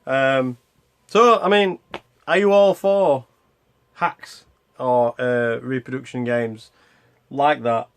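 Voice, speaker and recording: A man's voice: mid-range voice, steady tone, slow, pauses often, smooth. A man hosting a retro gaming vlog in a casual, unscripted way, rambling and hesitant as he interrupts himself. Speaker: host of a retro gaming video channel.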